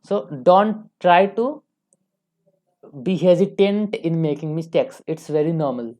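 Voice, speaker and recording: Female online tutor, young, Indian accent, low mellow voice, informal and emphatic lecturing style. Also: a little slow. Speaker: online English teacher.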